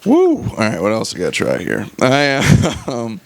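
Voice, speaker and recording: A man performing conversational stand-up at a live open mic, animated and sweary, often checking in with the audience using short questions. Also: medium pitch, moderate pace. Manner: animated, swearing, frequent rhetorical check-ins to the crowd. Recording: clearly noisy live open mic recording.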